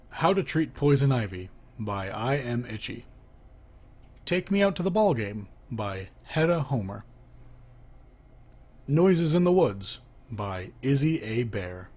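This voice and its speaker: A man reading a children's joke book aloud, announcing who speaks before each line and lifting his voice for the questions. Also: medium pitch. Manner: lifts his voice for the asking half of knock-knock jokes.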